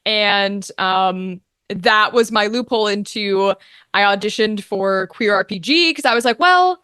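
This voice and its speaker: A young woman chatting casually as an interview guest, telling her story in an animated, informal way. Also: medium pitch, measured pace, clear, resonant tone, no room sound, clean studio sound. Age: young adult. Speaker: guest, voice actor.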